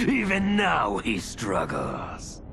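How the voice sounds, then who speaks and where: Game villain: bloodthirsty lord, drawn-out delivery, huge swings in pitch, theatrical menace. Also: male voice, huge pitch swings, noisy background, heavily acted. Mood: gloating, manic. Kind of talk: video game cutscene acting.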